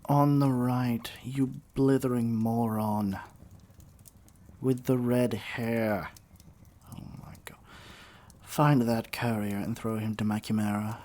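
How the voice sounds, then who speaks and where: A man's voice: mid-range voice, fairly even intonation, slow pace, long pauses, darkish tone, natural voice. A man acting as a haughty, menacing evil sorcerer king in an ASMR roleplay, speaking in a pompous, theatrical and condescending way. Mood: irritated, cruel.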